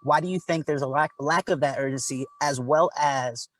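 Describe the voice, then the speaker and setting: A man's voice: a little high, normal speed, fairly bright tone. A man moderating a town hall, asking long questions in a casual, encouraging way.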